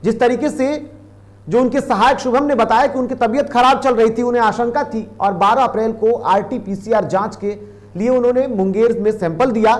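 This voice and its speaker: Male news presenter, high register, emphatic and repetitive while commenting on a report. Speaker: news anchor.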